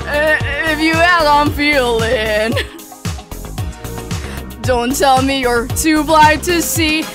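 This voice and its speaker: A woman streamer singing karaoke along with a backing track, loosely and fumbling some words. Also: high voice.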